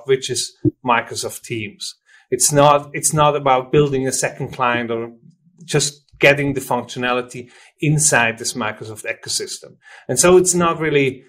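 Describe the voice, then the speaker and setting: A man's voice: mid-range voice, a bit bright. Male interviewee, Swiss German accent, native German speaker, mid-range voice, informal explainer who restarts and rephrases. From Switzerland. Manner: informal, halting, self-correcting explanations. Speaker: software company representative, interview guest. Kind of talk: conversational product interview.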